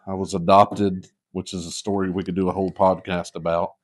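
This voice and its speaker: Man, podcast guest, very deep voice, relaxed, chatty storyteller. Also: fairly quick. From Western North Carolina. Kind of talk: casual chat.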